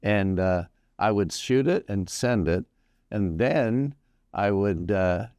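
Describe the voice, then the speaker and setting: A man in his sixties hosting a casual morning radio interview, easygoing and quick to laugh. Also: on the low side, darkish tone, no echo, studio quality. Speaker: radio host.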